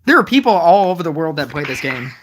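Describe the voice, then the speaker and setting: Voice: medium pitch, very animated, fast talker, music in the background. A mobile gaming streamer chatting casually while reading viewer messages, rambling with lots of "like" fillers and restarts, and adding wry, amused asides. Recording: noisy stream audio with clicks.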